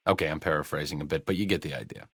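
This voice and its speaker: A man's voice: very deep voice, racing, fairly bright tone, breathy edge, no room sound, heavily acted. A man playing a wry, bookish doctor companion in a video game, with a dry, educated, self-deprecating manner. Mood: dry, sardonic. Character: wry, bookish adult male doctor companion, dryly sardonic.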